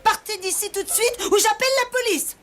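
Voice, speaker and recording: A woman's voice: very high-pitched, a little fast, a bit bright, soft background music. A woman playing an indignant, overprotective mother in a cartoon, scolding and alarmed. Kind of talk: animated cartoon dialogue.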